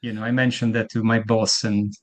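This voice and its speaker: A man's voice: lowish voice, little pitch movement, brisk. A man from Italy with a thick Italian accent, a guest in a casual interview, often stumbling and repeating words as he starts his sentences. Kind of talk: casual video-call interview.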